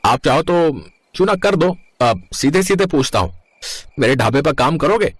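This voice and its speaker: A man's voice: a little high, expressive, moderate pace, slightly rough, dry recording. A man voicing a greedy, bossy roadside eatery owner with a blunt manner in a moral story cartoon. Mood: persuasive. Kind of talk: animated moral story dialogue.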